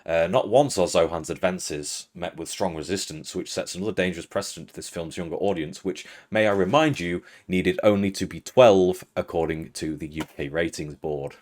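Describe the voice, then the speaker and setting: Deep-voiced British man giving scripted commentary. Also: normal speed, few pauses, a little mellow. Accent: British English. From Britain. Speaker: video essay host.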